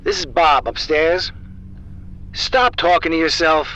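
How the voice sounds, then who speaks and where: An actor in a radio drama playing an impatient, gruff radio engineer who talks curtly and bossily through an intercom. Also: male voice, a little high, measured pace, pushed and bright. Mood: curt, bossy. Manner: curt, bossy. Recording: narrow, noisy intercom sound.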